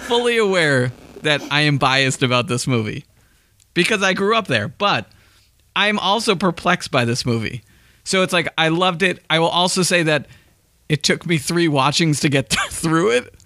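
A man's voice: on the high side, lively intonation, unhurried, sharp tone. A man from the Boston area, co-hosting a podcast in casual chat, hesitant and restarting often as he explains his opinions. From Massachusetts, outskirts of Boston. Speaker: podcast co-host.

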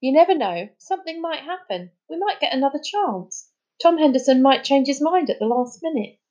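A woman voicing a practical, hopeful young girl in an audiobook, brisk and reasoning. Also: high-pitched, animated, mellow, dull audio, voice pushed up. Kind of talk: audiobook character dialogue.

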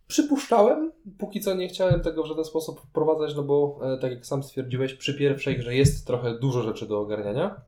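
A man with a soft, mellow voice and a middle pitch, discussing games casually as a podcast co-host. He phrases things analytically and at some length, in a very roomy, reverberant space. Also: animated, a little fast, hardly any pauses. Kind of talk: casual board game discussion. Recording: roomy, echoing room sound.

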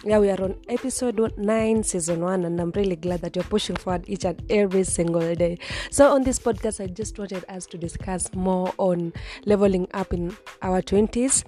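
A woman's voice: medium pitch, steady tone, moderate pace, dark tone, soft background music. A woman in her twenties hosting a solo lifestyle podcast, chatting casually with frequent restarts and repeated words. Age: 20s. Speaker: podcast host.

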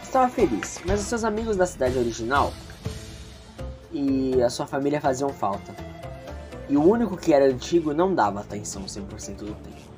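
A man's voice: mid-range voice, very animated, a little fast, over music. A man narrating a story as the storyteller of an amateur audio drama.

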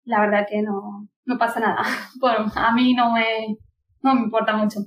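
A woman's voice: fairly high pitch, level tone, normal speed, distant mic, rough recording. A woman from Pakistan whose first language is Punjabi, a podcast guest chatting casually with hesitant restarts and laughter. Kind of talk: casual conversation.

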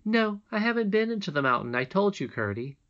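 A woman's voice: mid-range voice, big pitch swings, fairly quick, highs cut off, pitched above the performer's own voice, lightly acted. A woman voicing a sweet, trusting young princess in a storybook, earnest, emphatic and childlike. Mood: earnest chatter. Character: sweet, trusting young princess, little girl, eager and polite.

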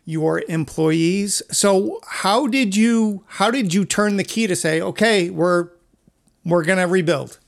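A man hosting a conversational interview, putting his questions tactfully and in a measured way. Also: high voice, vocal fry. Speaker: podcast host, interviewer.

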